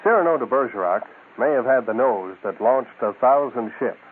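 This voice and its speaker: A man's voice: mid-range voice, animated, normal speed, slightly rough, narrow, tinny audio. A man hosting a radio show, reading scripted promotional announcements on a thin radio recording.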